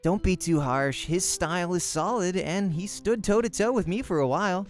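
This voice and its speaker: A man's voice: sits high, moderate pace, faint music behind, voice pushed up, natural voice. A man voicing a friendly, easygoing male pro gamer with a casual, teasing way of talking. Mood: encouraging.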